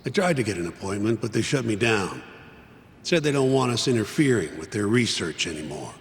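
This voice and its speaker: A man's voice: lowish voice, sing-song, brisk, gravelly, long reverb tail, slight background noise. An actor playing a blunt, skeptical male partner who dismisses the case. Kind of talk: video game voice acting.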